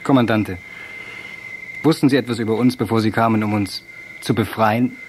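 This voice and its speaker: A man's voice: fairly low pitch, steady tone, moderate pace, noisy background, lightly acted. A male actor in a radio drama playing an earnest soldier questioning a prisoner. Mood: earnest, probing.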